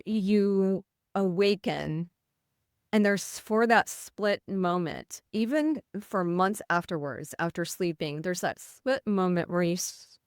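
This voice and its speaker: Midwest nurse lady telling her story, kinda rough voice sometimes, low and smooth, dry mic. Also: lively intonation, slow, dry recording. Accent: American Midwestern. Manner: earnest, vivid retelling with quoted inner thoughts. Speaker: nurse, podcast guest. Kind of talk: conversational storytelling interview.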